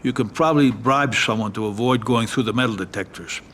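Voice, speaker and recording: A man's voice: medium pitch, fairly quick, a bit bright, a little hiss or hum, natural voice. A man playing a shrewd, level-headed mafia family adviser in a video game cutscene, speaking in a matter-of-fact, advisory way. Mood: practical. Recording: music under the voice.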